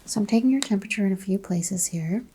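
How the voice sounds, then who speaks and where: A soft-spoken woman doing an ASMR doctor roleplay, asking unhurried exam questions and slipping into whispers. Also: medium pitch, slight background noise.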